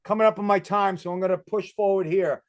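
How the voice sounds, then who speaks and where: A man with a Boston accent giving a brisk presentation. He walks through the steps with quick questions and answers. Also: sits high, fairly even intonation. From Massachusetts. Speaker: presenter on community crisis programs. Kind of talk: fast presentation talk.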